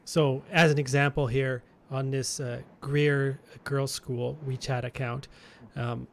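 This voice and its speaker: A man's voice: mid-range voice, flat delivery, slow pace, gravelly. A Canadian man co-hosting a marketing podcast, chatting casually and thinking aloud, with frequent restarts and chuckles. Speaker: podcast co-host.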